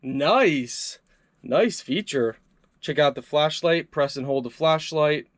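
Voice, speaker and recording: A man's voice: a little high, expressive, takes it slowly, a little hiss or hum. A man doing a casual, upbeat hands-on product review, chatting to his viewers as he tries things out.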